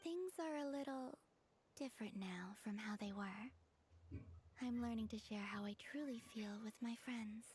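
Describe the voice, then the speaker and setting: Woman as gentle game heroine, slightly high soft breathy voice, earnest, reserved; faint noise behind. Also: unhurried, lightly acted. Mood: shyly sincere. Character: soft-spoken gentle young moon maiden, earnest and reserved. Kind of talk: video game character acting.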